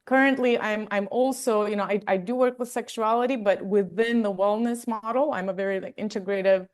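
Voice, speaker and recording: A woman's voice: medium pitch, fairly even intonation, a little fast. A woman from Armenia, a wellness coach and interview guest, telling stories in a chatty, casual way with lots of fillers.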